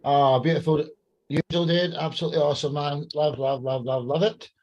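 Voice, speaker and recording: A man's voice: on the high side, steady tone, brisk, darkish tone, muffled recording. A man hosting a podcast in a casual, upbeat, effusive style full of matey slang. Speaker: podcast host.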